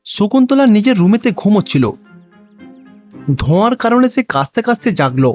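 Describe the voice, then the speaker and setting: Casting: male cartoon story narrator, high pitch, lively melodic read, lo-fi track. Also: fairly quick, soft background music.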